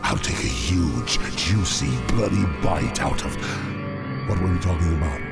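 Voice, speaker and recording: A sly, menacing game demon, with an extremely deep and heavily gravelled voice. It speaks slowly and deadpan beneath loud music. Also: male voice. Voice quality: guttural, gravelly.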